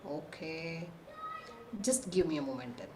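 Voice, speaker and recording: A woman's voice: deep voice, a little slow, roomy sound, background noise. A woman hosting a live online parenting workshop on a video call, reading participants' answers from the chat and checking in with the class. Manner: reads lists of answers aloud, checks in with the class often. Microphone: computer mic on a video call.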